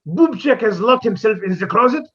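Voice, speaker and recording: A man's voice: sits high, expressive, fairly quick, breathy edge, lo-fi sound. A man playing a florid, theatrical professor in a 1950s-style radio comedy. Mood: pompous.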